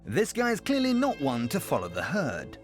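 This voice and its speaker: A man's voice: on the high side, wildly swooping pitch, normal speed. A man narrating police footage in a dry, mocking, tongue-in-cheek scripted voice-over. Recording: voice-over over music.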